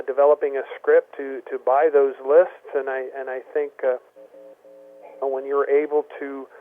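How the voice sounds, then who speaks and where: A man leads a conference call, explaining at length and often stopping to restart his words. His voice sits at an ordinary middle pitch. It comes through a thin, tinny phone line. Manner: halting, repeats words while explaining. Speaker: host.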